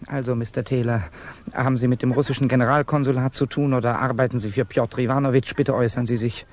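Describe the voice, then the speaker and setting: A man's voice: mid-range voice, fairly even intonation, quick pace, darkish tone, natural voice. Actor as a curt, distrustful young man. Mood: suspicious, sharp. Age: young adult. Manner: curt, suspicious questioning. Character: distrustful, evasive young Russian revolutionary student. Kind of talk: 1960s German radio drama acting.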